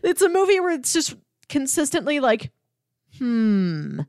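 A woman's voice: sits high, very animated, measured pace, darkish tone, no echo, clean studio sound. A woman talking casually about a film as a podcast co-host.

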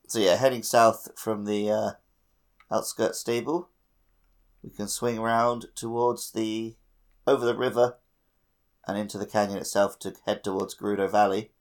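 A man co-hosting a gaming podcast in relaxed, informal conversation. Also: lowish voice, normal speed. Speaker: podcast co-host. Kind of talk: casual gaming chat.

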